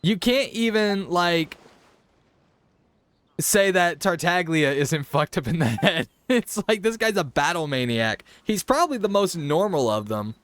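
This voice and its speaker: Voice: medium pitch, big pitch swings, measured pace, a bit bright, no echo. A gaming streamer giving informal, joking live reactions to a game's story scenes. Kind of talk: live gameplay reaction commentary. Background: game music and dialogue.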